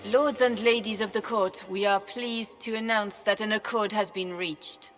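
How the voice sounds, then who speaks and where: A woman's voice: medium pitch, fairly even intonation, moderate pace, fairly bright tone, natural voice. Woman as a regal empress in a ringing hall. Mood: proclaiming. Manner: stately, formal. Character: regal, composed empress addressing her court. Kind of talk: theatrical video game acting. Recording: long hall reverb, music behind.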